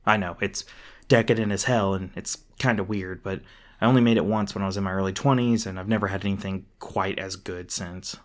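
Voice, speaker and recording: A man performs a gruff, defensive monster hunter in an intimate ASMR roleplay drama. His voice sits fairly low. He talks casually and swears, hesitating awkwardly as his secretly caring side shows through. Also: fairly even intonation, fairly quick, close to an everyday voice. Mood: awkward small talk.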